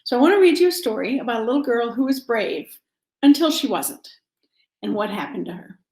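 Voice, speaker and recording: In a roomy, echoing space, a woman with a somewhat high voice talks to children. She speaks simply and directly, as a storyteller reading from a picture book. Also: animated, brisk, a little mellow. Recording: roomy, echoing home-style sound.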